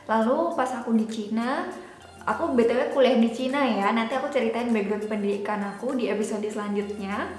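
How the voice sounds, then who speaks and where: A woman's voice: on the high side, brisk. A woman in her twenties from Java, Indonesia, chatting casually and informally as a YouTube vlogger, explaining as she goes. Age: 20s. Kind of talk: casual chatty vlog talk. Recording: echoey room with background music. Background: music.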